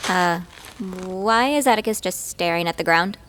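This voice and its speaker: A woman's voice: on the low side, normal speed, background noise, natural voice. A woman playing a hesitant, apologetic, anxious young fighter in an audio drama. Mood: uneasy.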